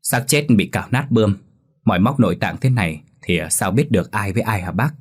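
A man's voice: lowish voice, lively intonation, breathy edge. A man voicing a nervous young village lad who blurts out and stammers. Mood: scared. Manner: hurried, stammering.

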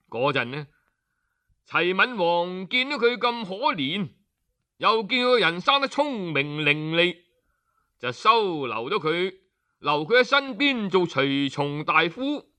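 A man narrating a historical tale in traditional oral storytelling style, with a measured, dramatic delivery. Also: high voice, sharp tone.

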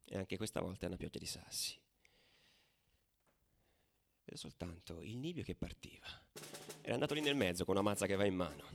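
A man's voice: sits low, expressive, a little fast, gravelly, pauses often, a little hiss or hum. A man playing a furious, worn-out young football ultra in a dramatic radio theatre monologue. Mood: weary, tense. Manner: swinging from hushed telling to shouted frenzy. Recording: drum music behind the voice.

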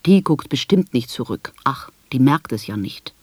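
An actress playing a blind woman who tells of her life in an articulate, measured, sardonic radio drama monologue. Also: rumbling low, lively intonation, darkish tone, breathy edge, no room sound, heavily acted. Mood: bitter. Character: blind woman recounting her life with bitter, ironic humour.

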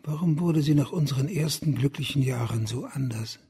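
A man acting as a proud, lovelorn condemned man in a radio crime drama, brooding and intense, with a husky, gravelly voice. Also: medium pitch, brisk, warm tone. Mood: bitter, anguished. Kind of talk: German radio play acting.

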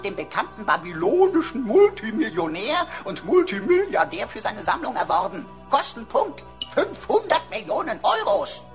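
Sci-fi radio sidekick: cheeky know-it-all pocket computer, mid-pitched, exaggerated, swooping delivery. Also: female voice. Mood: exasperated, showy. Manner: flippant, mock-pompous, exaggerated. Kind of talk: radio drama acting.

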